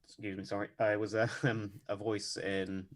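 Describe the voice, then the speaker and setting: Male podcast guest, deep monotone, deadpan delivery, measured and hesitant. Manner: measured, lots of ums and hedges. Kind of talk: casual interview chat.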